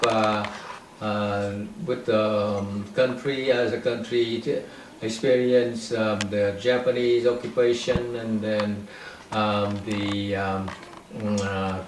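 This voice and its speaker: A man in his eighties from North Vietnam, a professor answering interview questions in a hesitant way, with many ums and restarts. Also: mid-range voice. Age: 80s. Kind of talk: slow reminiscing interview answer. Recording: echoey room, mic at a distance, faint hiss.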